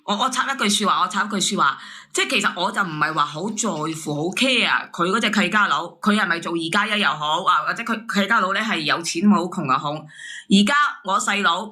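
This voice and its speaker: A woman's voice: on the low side, brisk, pushed and bright. A woman calling a show, bluntly and assertively arguing her side in casual speech. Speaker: caller. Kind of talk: casual argument.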